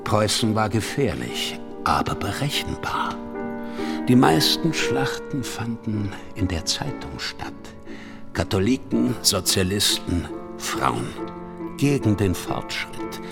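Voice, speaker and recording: A proud, melancholy old artist broods aloud in a radio play. His voice is deep and creaky, speaking slowly in short phrases over clear music. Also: sing-song, heavily acted. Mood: weary, dry. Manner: terse, brooding, musing monologue. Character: weary, proud old court painter Menzel, melancholy.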